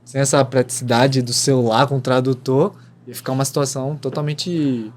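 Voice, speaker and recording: A man's voice: mid-range voice, steady tone, a little fast. A man co-hosting a podcast, explaining things earnestly in casual chat. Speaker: podcast co-host.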